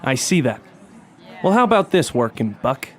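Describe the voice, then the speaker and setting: A man's voice: mid-range voice, very animated, normal speed, fairly bright tone. A man playing a tough, sardonic police detective in a noir comedy audio drama, with a threatening edge. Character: tough, wry hard-boiled male police detective. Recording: music behind the voice.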